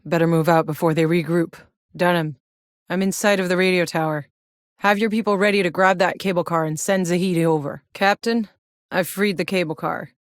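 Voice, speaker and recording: A woman's voice: on the low side, fairly even intonation, a little fast, no room sound, clean studio sound, natural voice. An actress playing a tough, sardonic, battle-hardened soldier in a sci-fi video game, blunt and wry in her delivery. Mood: focused, curious. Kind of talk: scripted video game character voice lines.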